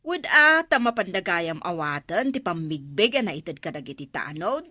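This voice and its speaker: A woman's voice: fairly low pitch, sing-song, moderate pace, bright, forceful tone, no echo, clean studio sound, heavily acted. A woman with an Ilocano accent playing a nagging, inquisitive village woman in a radio comedy drama.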